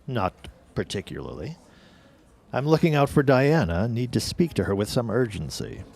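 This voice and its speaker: A man's voice: mid-range voice, big pitch swings, normal speed, vocal fry, a little mellow, breathy edge, heavily acted. A man acting a polite, persistent newspaper city editor in a 1910s period audio drama, speaking in a formal, courteous, probing way. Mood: probing, needling.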